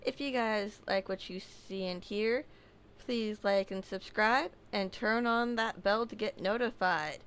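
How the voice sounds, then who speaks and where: A woman narrating a submitted true horror story, reading slowly with small slips and casual asides. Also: medium pitch, a bit bright, clean tone.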